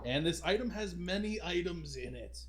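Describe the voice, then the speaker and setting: A man's voice: high voice, lively intonation, moderate pace, fairly bright tone, slight background noise. A man hosting a casual livestream podcast, talking informally and addressing his viewers as guys. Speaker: podcast host.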